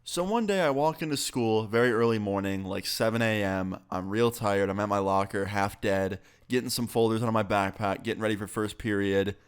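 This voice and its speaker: A man's voice: lowish voice, brisk, few pauses, clean tone. A young man, a bit sick, telling a casual, profane story on his vlog. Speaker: YouTuber.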